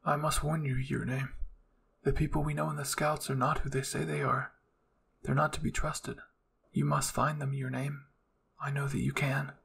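A man voicing a soft-spoken, regretful male spirit of a fallen soldier, earnest in manner. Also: mid-range voice, level tone, normal speed, vocal fry, a little hiss or hum. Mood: gentle regret.

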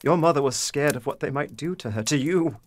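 A man's voice: a little high, no echo, lightly acted. A man playing a grave, anguished father. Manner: grave, deliberate, impassioned. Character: anguished, guilt-ridden father, stern and protective. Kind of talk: period audio drama acting.

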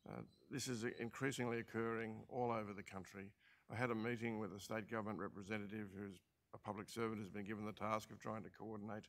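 An older Australian man chairing a council meeting as administrator, speaking in a halting way with many fillers and restarts, into a desk mic in a large council chamber. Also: lowish voice, fairly even intonation. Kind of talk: formal meeting remarks.

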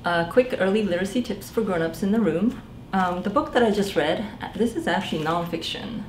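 A woman in her forties, a children's librarian, reading a picture book aloud in a gentle sing-song way and asking the children to guess. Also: mid-range voice, animated, a little fast, roomy sound, slight background noise. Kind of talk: storytime read-aloud for young children. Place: small library room indoors.

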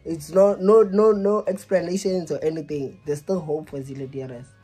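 A man's voice: a little high, fairly even intonation, normal speed, dark tone, faint music behind. A man in his twenties in a casual phone conversation, hesitant and repetitive, pleading, recorded close up in a small room. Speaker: vlogger making a prank call.

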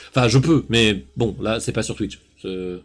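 A man in his thirties from south-east France with a faint southern accent, chatting casually and rambling with many fillers on a live cooking stream into a headset mic. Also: fairly low pitch. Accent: southern French, faint. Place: home kitchen and small room.

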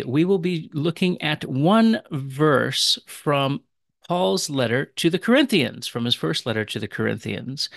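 A man with a gravelly voice of middling pitch, teaching a recorded language lesson. He explains in a relaxed, chatty way. The sound is dry and close, with no room echo. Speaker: teacher of Aramaic.

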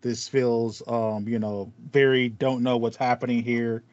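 A man's voice: mid-range voice, little pitch movement, unhurried, a little mellow, no room sound. A man chatting casually as a gaming podcast host, sympathetic and mock-indignant. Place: small room with acoustic foam, indoors. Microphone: headset on a video call. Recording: slightly dull, lo-fi online call.

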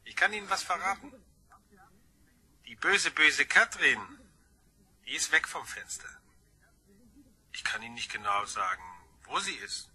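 A sly blackmailer in a crime radio drama. The voice is high, piercingly bright and rough-edged, teasing and insinuating with mock sweetness. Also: male voice, lively intonation, unhurried, pauses often, heavily acted. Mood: menacing, taunting. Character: sly, taunting anonymous blackmailing male caller.

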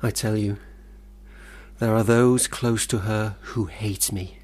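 An actor playing an earnest, prim, troubled young poet in a radio play, husky and formal in his phrasing. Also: lowish voice, steady tone, slow, a little mellow. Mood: defensive.

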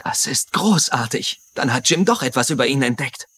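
Husky, scheming politician. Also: male voice, mid-range voice, lively intonation, normal speed, a bit bright. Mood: casual, interested. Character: smooth-talking, ambitious male politician, evasive schemer. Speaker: actor in a German dub. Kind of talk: dubbed TV mystery dialogue.

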